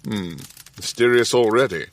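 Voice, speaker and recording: An actor playing a thoughtful, self-doubting Victorian doctor, measured and dry in audio drama narration and dialogue. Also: male voice, on the low side, natural voice. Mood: curious, probing.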